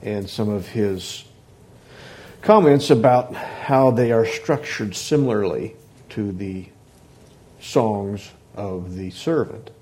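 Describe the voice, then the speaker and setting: A man leading a Bible class into a microphone, talking hesitantly with many restarts and fillers and clearing his throat often. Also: fairly low pitch, expressive, slow, mellow. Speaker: Bible teacher. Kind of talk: informal Bible class talk. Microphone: microphone on a sound system.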